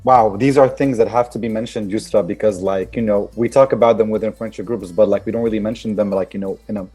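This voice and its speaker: A man moderates a panel discussion and hands the floor to the next speaker in a casual way. His voice is low, mellow and slightly husky. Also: fairly even intonation, fast, soft background music.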